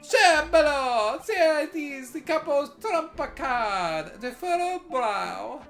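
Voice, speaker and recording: A woman voicing an excitable, theatrical young Italian nobleman con man with a put-on Italian accent. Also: slow pace, soft background music, full character voice. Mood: exuberant. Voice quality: high, loud, sing-song. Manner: theatrical, exclamatory, flattering.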